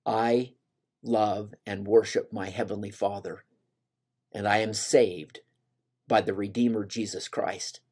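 A man's voice: fairly low pitch, steady tone, slow, darkish tone, breathy edge. Earnest older man teaching scripture. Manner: earnest, voices scripture lines with rising emphasis. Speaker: gospel study teacher. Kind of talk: scripture lesson over slides.

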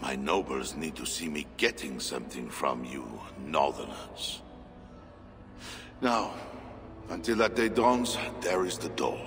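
Very deep voice, lively swings, slow scheming sneering king, echoing hall with game score. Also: soft background music, heavily acted. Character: scheming portly Frankish king, menacing, mocking. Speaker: king Charles. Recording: game score under a long-reverb hall.

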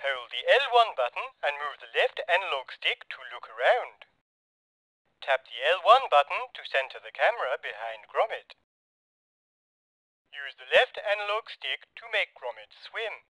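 A man's voice: a little high, big pitch swings, unhurried, pushed and bright, no room sound, low-quality recording. A man reading scripted help instructions for a video game tutorial aloud. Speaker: game developer recording placeholder help lines.

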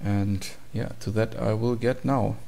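Male tutorial presenter: low pitch, gravelly fry, plain matter-of-fact explaining. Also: fairly even intonation, measured pace, dark tone. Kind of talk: informal screen-recorded code walkthrough.

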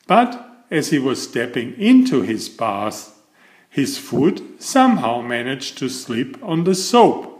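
Animated, unhurried male storyteller. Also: mid-range voice, roomy sound. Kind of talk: picture book read aloud.

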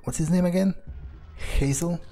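A man improvising in character as he plays a game, pausing often mid-sentence. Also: a little high, mellow. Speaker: gamer playing in character. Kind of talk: improvised in-character roleplay commentary. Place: voice-over on game footage, faint game music behind.

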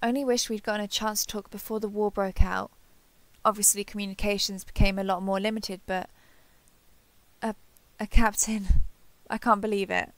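Woman acting earnest starship captain, creaky voice. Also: medium pitch, flat delivery, normal speed, no room sound, close to an everyday voice. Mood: fond, flustered. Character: warm, earnest female alien starship captain, sentimental. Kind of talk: roleplay audio drama, one-sided dialogue.

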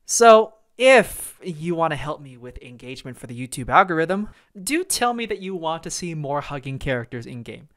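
A man's voice: a little high, fairly bright tone. A man hosting a video game review, talking in a casual, opinionated way with conversational, emphatic phrasing.

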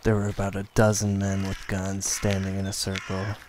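A man playing a weary, bitter survivor who narrates a post-apocalyptic radio play in a grim, deliberate way. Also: sits low, completely flat delivery, background noise, lightly acted.

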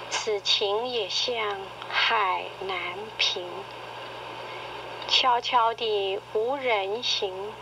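Very slow woman reciting over noisy tape. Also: fairly high pitch. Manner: poetic, measured, sentimental. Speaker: narrator. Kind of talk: slow sentimental radio-drama recitation of an essay. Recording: noisy cassette tape playback.

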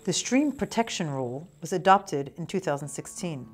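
A woman's voice: lowish voice, very animated, normal speed, faint music behind. A woman, around her thirties, reading scripted documentary narration as a researcher.

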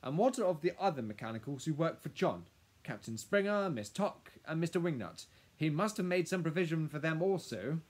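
A man voicing a polite, principled, concerned professor with a measured, formal manner in a storybook. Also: fairly high pitch, expressive, breathy edge, voice pushed up.

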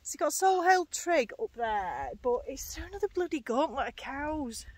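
Lively high-voiced woman hiking outdoors. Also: moderate pace, no echo. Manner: chatty, grumbling and laughing, swears casually. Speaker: hiking vlogger. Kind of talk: casual chat to camera while hiking. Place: open hillside fields outdoors, windy. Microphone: phone camera at arm's length. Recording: music playing under the voice.

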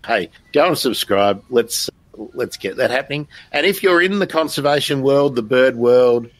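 A man's voice: medium pitch, normal speed, no echo. A man hosting a live stream in a casual, chatty, self-deprecating way. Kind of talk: casual chat.

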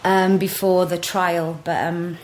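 A middle-aged woman with a Welsh accent talks in a somewhat low voice. She tells stories bluntly and frankly, laughing easily. There's a faint hum of room noise behind her. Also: flat delivery, takes it slowly. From Wales. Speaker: guest. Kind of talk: casual chat. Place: office.

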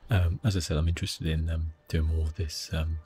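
A man giving relaxed ASMR gameplay commentary, thinking aloud with hesitant phrasing. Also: rumbling low, a little fast, slight background noise. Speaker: gaming video host. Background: soft game music.

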